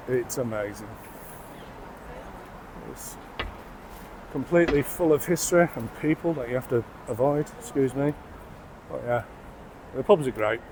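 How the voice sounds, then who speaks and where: A man's voice: fairly high pitch, noisy background. A man in his forties with a Mancunian accent, tipsy from beer, chatting and swearing freely as he vlogs a pub crawl through the city streets on a handheld phone. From England. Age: 40s. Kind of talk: casual walking vlog. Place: outdoors on city streets and a busy rooftop bar.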